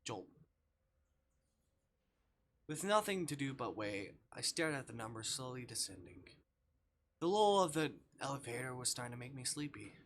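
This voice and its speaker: A man's voice: medium pitch, sing-song, moderate pace, pauses often, a little hiss or hum. A man livestreaming a visual novel, reading it aloud theatrically and reacting as he goes. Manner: theatrical, swings from hushed narration to shouting.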